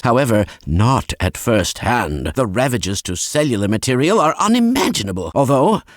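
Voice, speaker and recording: A man's voice: medium pitch, lightly acted. An actor playing an eccentric, fussy older male scientist in a video game, absent-minded yet kindly, speaking in wordy, formal, pedantic phrases. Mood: earnest, fascinated. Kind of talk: scripted video game dialogue.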